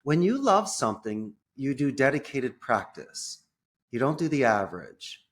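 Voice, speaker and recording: An American man speaking as an interview guest, telling personal stories in an enthusiastic, expressive way with colorful phrasing. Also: medium pitch, unhurried. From United States. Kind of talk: conversational personal storytelling.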